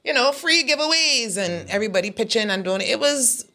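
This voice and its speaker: A woman with a Barbadian accent and a deep, raspy voice, talking bluntly as a comedian guest in a casual interview. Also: animated, a little fast, sharp tone. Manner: blunt, aggressive tone.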